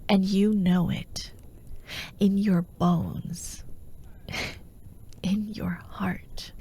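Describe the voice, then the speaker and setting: A woman playing a menacing, persuasive fantasy warlord who haunts dreams, grandiose and coaxing, in a breathy ASMR roleplay voice that often drops toward a whisper. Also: mid-range voice, darkish tone. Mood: softly menacing. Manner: slow, grandiose, coaxing, mock-reasonable.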